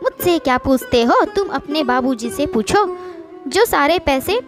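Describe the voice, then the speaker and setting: A woman's voice: high voice, animated, a little slow, music in the background. A woman voicing a scornful, bossy young daughter-in-law in a moral story, scolding and sarcastic. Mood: indignant. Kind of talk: Hindi moral story voice acting.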